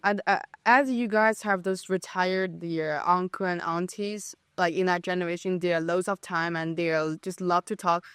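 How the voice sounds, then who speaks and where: A woman's voice: lowish voice, steady tone, moderate pace, hardly any pauses, fairly bright tone, clear, resonant tone, no echo, studio quality. Hesitant young woman with a Chinese accent. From China. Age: young adult. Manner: hesitant, many restarts and fillers. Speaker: podcast guest. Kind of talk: casual conversation.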